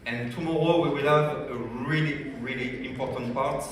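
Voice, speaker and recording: A man from France with a strong French accent gives a lively business training talk at a convention, often asking the audience questions. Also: mid-range voice, normal speed, slight background noise. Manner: energetic, addresses audience directly with questions. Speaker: business development director. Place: large convention hall. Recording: echoey hall, speaker far from mic.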